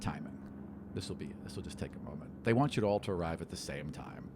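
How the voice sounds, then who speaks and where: A man's voice: sits low, expressive, fast talker, noisy background, voice pushed down. A man playing a fussy, watchful inquisitor in a tabletop game, speaking in a hesitant, officious way. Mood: matter-of-fact. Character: fussy bureaucratic male inquisitor, watchful, matter-of-fact.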